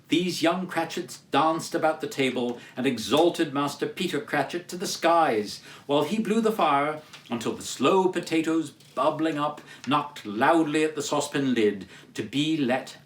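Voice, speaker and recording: A man giving a dramatic literary reading as a storyteller, with theatrical, emphatic, measured phrasing. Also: a little high, lively intonation. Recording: roomy echo, distant microphone.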